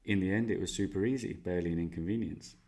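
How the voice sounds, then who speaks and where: Man doing a young male student, Spanish accent, upbeat and jokey, low voice. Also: quick pace, a little mellow, natural voice. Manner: upbeat, jokey, boastful asides. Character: young male language student, cheerful and self-mocking. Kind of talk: role-played exam interview answers.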